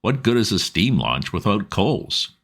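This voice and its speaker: A man's voice: fairly low pitch, very animated, a little slow, fairly bright tone, pitched above the performer's own voice, heavily acted. Man doing a fretful chatty boatman's wife. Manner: homely dialect, rambling. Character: stout red-faced working-class boatman's wife, anxious, chatty.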